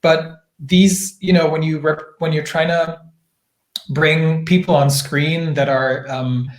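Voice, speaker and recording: Guy doing an interview, earnest and thoughtful, highish voice, echoey video call. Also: steady tone, slow pace. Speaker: actor being interviewed. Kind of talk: conversational interview answer. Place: indoor room, virtual Q&A.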